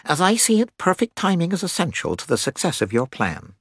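Man as precise nasal scientist, enthusiastic, pleasant, swooping pitch, dry recording, storybook character. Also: huge pitch swings, brisk, fairly bright tone, slightly rough, no echo, exaggerated voice. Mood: eager. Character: eager, precise male scientist doctor, thin and bespectacled.

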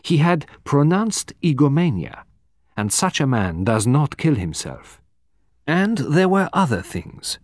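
A man's voice: a little high, big pitch swings, a little mellow, no echo, studio quality, pitched above the performer's own voice. A man as a precise, theatrical foreign detective. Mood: explaining, dramatic. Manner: deliberate, formal, dramatic emphasis and pauses.

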